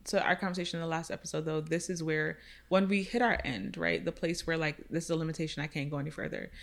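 A woman with a slightly low voice speaks earnestly in a relaxed podcast conversation. She reflects on her work as a counselor and often pauses to check in with a quick "right?". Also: creaky. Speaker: counselor specializing in racial identity. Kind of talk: casual podcast discussion.

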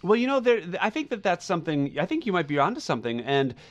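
A man's voice: high voice, sing-song, quick pace, highs cut off. A middle-aged man co-hosting a podcast in a casual, personal way, often restarting words as he feels out his point. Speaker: podcast co-host.

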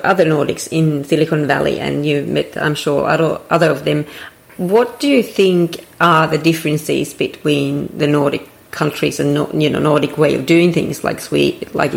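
Interviewer w a really gravelly voice, asks long rambly questions. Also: mid-range voice. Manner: long winding question with restarts.

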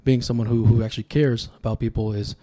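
A man's voice: medium pitch, level tone, fast talker, mellow. A man from Sacramento, California, whose first language was Tongan, talking as a podcast guest in a reflective, storytelling way. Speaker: podcast guest, political candidate. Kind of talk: casual podcast conversation.

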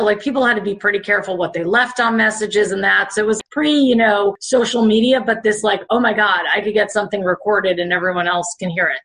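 Woman, middle-aged, raspy voice, casual podcast guest, chatty storyteller. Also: medium pitch, fairly bright tone, rough recording. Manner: chatty storyteller, many "like" and "you know" fillers.

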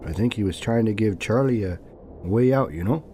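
A man's voice: lowish voice, moderate pace, background noise, natural voice. An actor playing a somber, plain-spoken, folksy man in an audio drama. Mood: grave, reflective. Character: somber, folksy man soberly explaining a tragedy.

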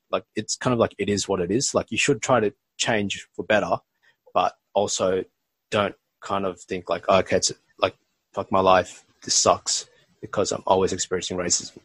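Podcast co-host: a young man, gravelly and reflective. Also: sits low, no room sound. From Bangladesh, raised in Australia. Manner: reflective, lots of "like" and "kind of". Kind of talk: casual chat.

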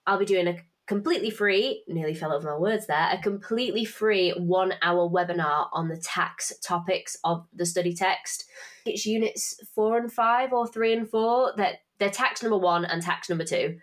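A young woman with a fairly low voice and a blocked, snuffly nose from hay fever. She hosts her podcast in a loose, chatty way, cursing casually and poking fun at herself. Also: normal speed. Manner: chatty, swearing, self-mocking. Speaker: podcast host and finance tutor. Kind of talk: casual podcast chat with promotion.

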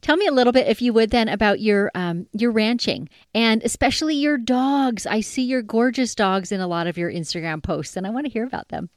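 A woman's voice: a little high, fairly quick, hardly any pauses, no echo, clean studio sound. A woman from northern British Columbia, hosting a casual interview in a chatty, affirming way. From northern British Columbia, Canada. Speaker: interviewer, host.

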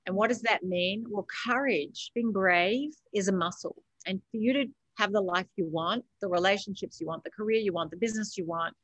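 Female podcast guest, Australian accent, mid pitch, emphatic motivational delivery that repeats key phrases, dry sound. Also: fairly quick, few pauses, fairly bright tone, dry recording, low-quality recording. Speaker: podcast guest, author and coach. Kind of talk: motivational interview conversation.

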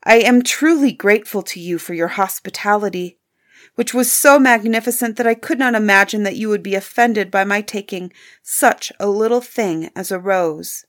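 A woman's voice: medium pitch, expressive, unhurried, a little mellow, lightly acted. Woman acting an anxious, imploring merchant father. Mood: terrified pleading. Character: frightened, pleading merchant father, humble and polite. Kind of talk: storybook character voice.

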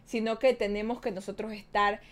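A woman's voice: mid-range voice, flat delivery, moderate pace. Chatty, playful podcast host woman. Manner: playful, chatty, reads viewer comments aloud. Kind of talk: live-streamed casual podcast talk.

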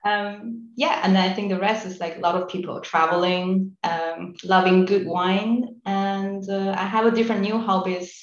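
A woman in her thirties from China, a podcast guest, talking about herself in a relaxed, easygoing way. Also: medium pitch, normal speed, hardly any pauses, roomy sound, muffled recording. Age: 30s. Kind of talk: casual interview chat.

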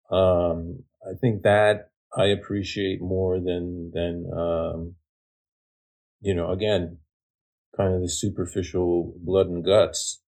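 A middle-aged man from Japan telling a personal ghost story in casual, halting speech with many fillers and restarts. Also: low voice, darkish tone.

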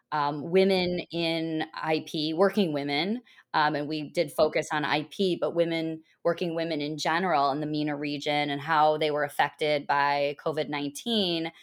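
A woman hosting a podcast interview, asking long, searching questions with restarts. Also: low-pitched. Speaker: podcast host.

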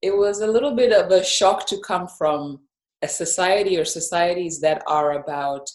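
Low-voiced woman in a roomy space. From Zambia. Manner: reflective storytelling, measured. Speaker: life and business coach, guest. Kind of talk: podcast interview. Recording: roomy echo.

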